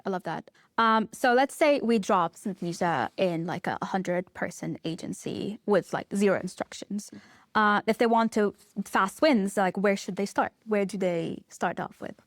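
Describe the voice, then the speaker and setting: A woman's voice: mid-range voice, moderate pace, dry recording. Chatty woman podcast co-host, dry sound. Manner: chatty, playful questioning with fillers. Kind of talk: casual podcast interview.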